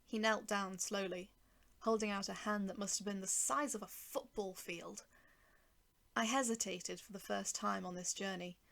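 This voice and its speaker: A woman reads a first-person story aloud with a creaky edge to her mid-pitched voice. Her delivery is expressive and deliberate, setting phrases apart with dramatic pauses. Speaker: author narrating own short story.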